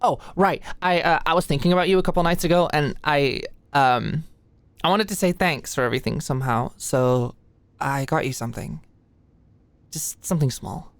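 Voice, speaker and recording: A woman's voice: low voice, moderate pace, dry recording. A woman playing an anxious, stressed-out young medical student in an intimate roleplay monologue, rambling and stammering as she goes. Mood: shy, grateful. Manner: rambling, stammering, hesitant, swearing.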